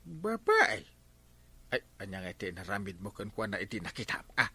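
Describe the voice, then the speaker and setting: A man's voice: lowish voice, wildly swooping pitch, moderate pace, sharp tone, husky, no room sound, heavily acted. A man playing a sly, hesitant village friend in a comedy radio drama, stammering evasively with an Ilocano accent. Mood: curious. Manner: stammering, evasive, full of hems and haws. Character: hesitant, sly village buddy angling for a favour.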